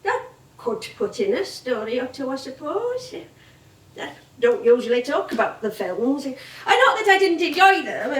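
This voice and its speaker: An actress playing an elderly English music hall comedienne on stage, chatty, rambling and nostalgic. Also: female voice, high voice. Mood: wry reminiscing. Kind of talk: theatrical stage monologue and dialogue. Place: theatre stage. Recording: echoey theatre, distant mic.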